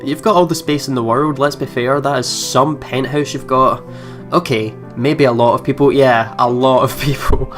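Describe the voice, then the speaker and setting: A reactive video game host with a medium-pitched voice. The delivery moves between casual commentary and reading story text aloud. Faint game music sits behind the voice. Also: steady tone, moderate pace. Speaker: let's play host. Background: soft game music.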